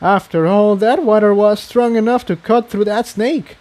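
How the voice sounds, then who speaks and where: A man voicing a young female pony, excitable and wry, in a story reading. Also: sits high, normal speed, pitched above the performer's own voice, lightly acted. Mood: amused, nervous.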